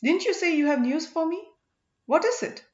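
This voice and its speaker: A woman's voice: very high voice, animated, moderate pace, a little mellow, pitched above the performer's own voice. A woman voicing an eager, worried and devoted village wife in an audiobook, with breathless questioning. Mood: bossy, curious. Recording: echoey room, dull lo-fi sound.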